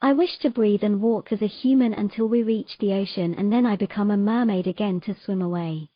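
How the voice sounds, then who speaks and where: A woman's voice: mid-range voice, a little fast, no room sound, dull audio, lightly acted. A woman playing a determined, defiant young mermaid with an insistent, urgent delivery in a dramatic audio story. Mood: incanting. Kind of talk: dramatic audio fiction acting.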